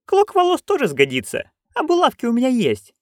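Brief: reader putting on a noisy, crude old witch, high and loud, swoops about. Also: huge pitch swings, a little fast, no room sound, studio quality. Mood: gossipy. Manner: loud, rambling, coarse. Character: bawdy, chatty old witch woman.

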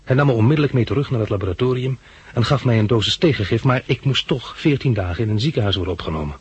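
A man's voice: medium pitch, expressive, rapid-fire, husky, dry recording, dull audio. An actor in a 1960s radio drama playing a dry, sardonic man who narrates and speaks as a patient. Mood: reflective narration. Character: bandaged male hospital patient and narrator, wry, sardonic.

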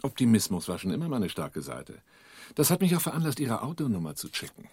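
An actor playing a calm, self-assured protector with dry optimism in a radio thriller. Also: male voice, medium pitch, brisk, husky, no room sound. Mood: wry, assured. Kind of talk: radio drama dialogue.

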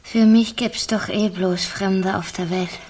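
A woman's voice: medium pitch, steady tone, slow, darkish tone, a little hiss or hum, natural voice. An actress playing a frail young backwoods mother, timid and wistful, speaking plain colloquial lines in a radio play. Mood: subdued, wistful. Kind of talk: literary radio play acting.

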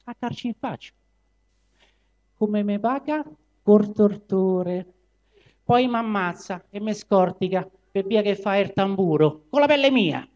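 A man's voice: high voice, lively intonation, slow, darkish tone, dull audio, voice pushed up. A man voicing an overworked, aggrieved donkey who complains in emphatic verse with a Roman accent. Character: aggrieved overworked male donkey, grumbling laborer.

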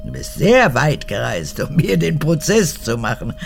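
An actress playing an imperious, cunning old mother in a radio drama, capricious and theatrical, moving between whispers and sharp words. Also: low voice, big pitch swings, unhurried, creaky, faint music behind, exaggerated voice. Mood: combative, accusing. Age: older. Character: imperious, cunning old mother, forgetful former Nazi fanatic. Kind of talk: German radio drama acting.

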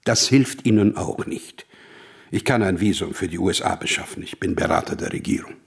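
A low, husky male voice for a radio play: a brilliant, melancholic mathematician. He speaks deliberately, with wry pomposity and a sense of urgency. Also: exaggerated voice. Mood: urgent, grave. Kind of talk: radio drama acting.

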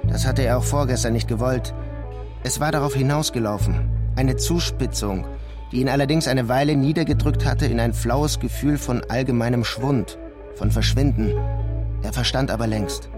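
An actor playing a weary, introspective journalist in a literary radio play, narrating in a measured, subdued way. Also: male voice, medium pitch, lightly acted. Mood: brooding, tense. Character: brooding, weary male journalist writing a painful letter. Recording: music behind the voice.

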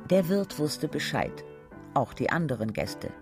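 Gravelly, higher-pitched male narrator. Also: sing-song, measured pace, music in the background. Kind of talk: literary radio drama narration.

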